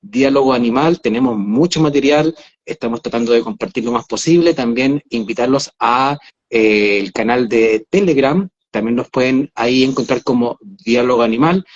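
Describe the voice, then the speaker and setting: A man's voice: medium pitch, normal speed, dull audio. A Chilean man hosting a casual online livestream, talking with a lot of hesitation and fillers over a video call. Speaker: host.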